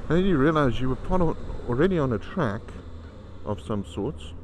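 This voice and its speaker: A man's voice: mid-range voice, lively intonation, normal speed. A man riding a motorcycle outdoors, vlogging in a chatty, profane, self-mocking way through a helmet camera. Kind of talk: casual rambling travel vlog. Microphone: helmet-mounted camera. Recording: loud wind and engine noise.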